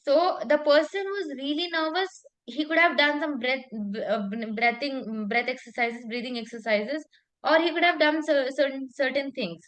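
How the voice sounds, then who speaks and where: The high-voiced, emphatic Indian woman you would hear running a one-to-one public speaking session, repeating each tip and asking if that's okay. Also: normal speed, fairly bright tone. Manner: emphatic, repetitive, checks in with "okay?". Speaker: public speaking coach and talk show host. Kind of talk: informal coaching conversation with anecdotes.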